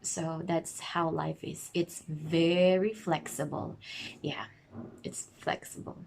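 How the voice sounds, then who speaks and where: A woman's voice: low voice, fairly even intonation, takes it slowly, creaky, noisy background. A woman from the Philippines in her thirties, an online English teacher, explaining casually in a chatty way, laughing often and correcting herself.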